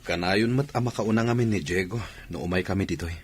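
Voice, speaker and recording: A man's voice: low-pitched, fast talker, husky. A man playing an earnest, disapproving soldier friend in a comedy radio drama. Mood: warning.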